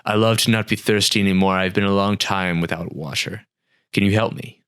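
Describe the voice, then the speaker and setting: A man's voice: lowish voice, steady tone, brisk, fairly bright tone, smooth, dry recording, clean studio sound, lightly acted. A man voicing a dazed man stranded in a desert, who asks questions in a bewildered, pleading way, in a storybook reading.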